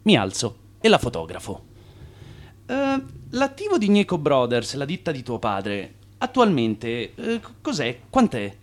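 Animated, ambitious schemer husband. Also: male voice, mid-range voice, a little slow. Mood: brisk, scheming. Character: ambitious, boastful Italian immigrant schemer husband. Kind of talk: radio theatre acting.

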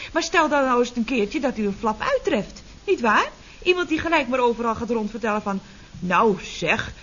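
A mock-innocent female secretary in a vintage radio comedy serial. Her voice sits on the high side, sugary and slyly teasing. The recording is dull and carries faint noise. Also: a bit bright.

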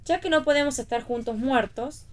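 An actress playing a spirited, sharp-tongued, defiantly cheerful woman who teases directly in a read radio play. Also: sits high, normal speed, close to an everyday voice. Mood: teasing, defiant. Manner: teasing, direct, emphatic. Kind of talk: staged reading of a radio play.

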